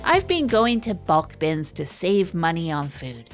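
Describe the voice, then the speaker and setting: A woman's voice: lowish voice, very animated. Woman doing a rambly awkward radio host for a comedy podcast drama, earnest, keeps fixing her words, bit of music behind. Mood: upbeat, rambling. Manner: rambling, halting, self-correcting, earnest. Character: hapless, self-deprecating evening radio show host. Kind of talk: improvised comedy audio drama monologue.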